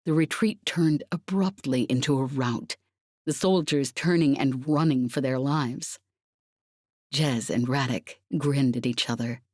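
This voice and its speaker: A woman with a low-pitched voice narrates an audiobook. Her scripted reading is dramatic, and the sound is very dry with no room around it. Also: measured pace, darkish tone, no echo.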